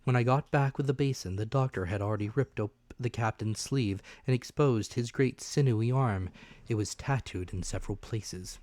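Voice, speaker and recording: A man's voice: medium pitch, normal speed, a little mellow, no room sound. A man giving a dramatic narrated reading of a classic adventure novel. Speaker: audiobook narrator.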